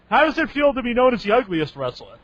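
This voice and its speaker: A man with a notably high voice hosts a casual radio chat, easygoing and laughing often. The audio is dry and somewhat dull, with no room sound. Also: sing-song, moderate pace, fairly bright tone, no echo. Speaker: radio show host.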